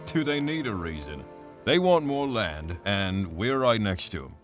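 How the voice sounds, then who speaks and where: Low-voiced, offhand gossiping knight. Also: male voice, sing-song, a little slow, music in the background. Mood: dismissive. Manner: offhand, colloquial. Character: gruff gossiping male knight, casually cynical. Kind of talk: audio drama acting.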